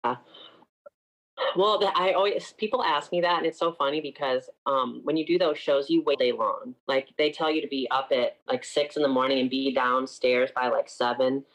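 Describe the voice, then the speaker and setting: A man's voice: mid-range voice, fairly even intonation, moderate pace, fairly bright tone, low-quality recording. A man in his twenties from upstate New York, a singer chatting casually as an interview guest and filling his talk with frequent "like"s.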